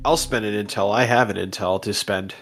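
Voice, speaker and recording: A man's voice: fairly low pitch, steady tone, a little fast, a bit bright. A man playing a tabletop game, talking through his moves in casual role-play banter.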